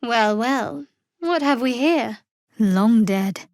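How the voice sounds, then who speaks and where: A woman playing a guarded, sardonic young female cleric of a dark goddess in a fantasy video game, with a cool, wry and measured delivery. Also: a little high, animated, no room sound. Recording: dry, close studio voice.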